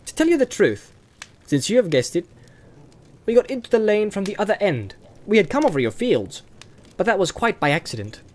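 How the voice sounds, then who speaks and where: A man acting chirpy young male hobbit, high pitch, lively, courteous, eager, storybook character. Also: a little fast. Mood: apologetic, open.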